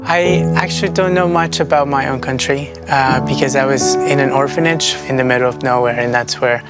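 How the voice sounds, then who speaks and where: A young man from El Salvador telling his life story calmly and reflectively in a video testimony. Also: mid-range voice, fairly quick. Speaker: testimony speaker. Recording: video with loud guitar music.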